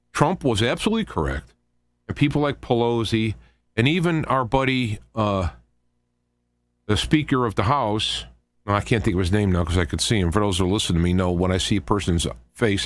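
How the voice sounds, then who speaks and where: A man hosting a talk radio show, giving informal political commentary in a halting, rambling way with conversational asides. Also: mid-range voice, no room sound.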